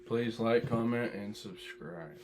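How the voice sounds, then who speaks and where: A man's voice: mid-range voice, slow pace. A twenty-year-old man chatting casually with friends as a guest on their show. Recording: slightly noisy home recording.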